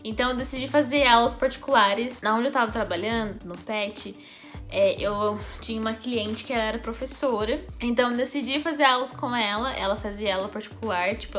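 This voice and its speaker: A young woman from Brazil with a Brazilian Portuguese accent, telling a personal story in a chatty, animated vlog, laughing often. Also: mid-range voice. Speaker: YouTuber.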